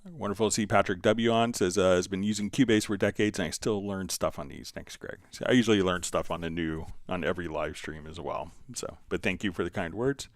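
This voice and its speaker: A man hosting a live stream, casually explaining software step by step while answering viewer questions. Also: sits low, brisk, few pauses, no room sound, clean studio sound.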